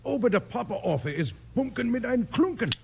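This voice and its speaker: An actor playing a flustered German gunsmith in a radio western, with a thick German accent and comic broken English. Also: male voice, extremely high pitch, sing-song, moderate pace, creaky, exaggerated voice. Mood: exasperated, earnest. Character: flustered old-world German gunsmith mangling his English. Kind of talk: 1950s radio western acting. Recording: old radio broadcast with faint noise.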